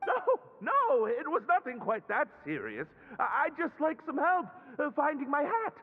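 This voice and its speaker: A man's voice: very high-pitched, wildly swooping pitch, a little slow, very noisy, heavily acted. A man voicing a timid, embarrassed young man in a video game, hesitant, stammering and polite, pleading for help through a muffled effect. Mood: embarrassed, earnest. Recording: muffled, narrow-band, faint music behind.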